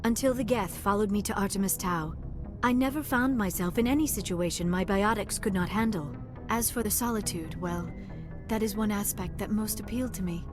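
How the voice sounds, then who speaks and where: A woman's voice: mid-range voice, brisk, close to an everyday voice. An actress playing a young alien archaeologist in a video game, earnest and formal, flustered when she feels embarrassed, with music playing behind her. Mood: reflective. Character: young alien woman archaeologist, shy and awkward.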